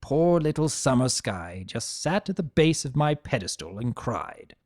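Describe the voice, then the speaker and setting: An expressive story narrator with a mid-pitched voice that moves widely in pitch. The reading is theatrical and scripted, recorded very dry with little room sound. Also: normal speed, no echo. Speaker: narrator of a fan fiction reading. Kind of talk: dramatic scripted narration in first-person character.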